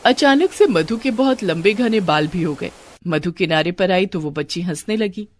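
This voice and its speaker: Lively woman narrating a cartoon story. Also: on the low side, moderate pace.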